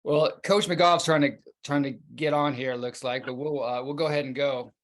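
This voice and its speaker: A man hosting a podcast interview over a video call, speaking in a relaxed, rambling way with fillers and restarts. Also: on the high side, brisk. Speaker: podcast host.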